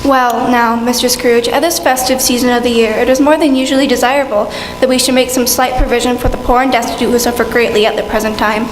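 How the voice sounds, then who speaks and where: A woman's voice: fairly high pitch, steady tone, fast talker, few pauses, close to an everyday voice. A woman playing a polite, earnest charity collector in a radio play, speaking in a formal, courteous way. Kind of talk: stage radio play acting. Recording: echoey hall, clear background noise.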